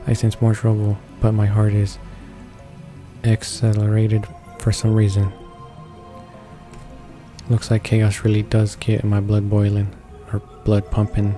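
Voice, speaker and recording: Male gaming host, read-along narration. Very flat, dark lowish voice, stumbles over words. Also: unhurried, pauses often. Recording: faint music behind the voice. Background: game music.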